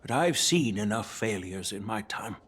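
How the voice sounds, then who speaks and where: A man's voice: lowish voice, animated, moderate pace, fairly bright tone, husky. A man voicing a seasoned elder general, grave and formal, in an anime-style video game. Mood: disdainful. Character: stern, seasoned elder general, weighty and frank.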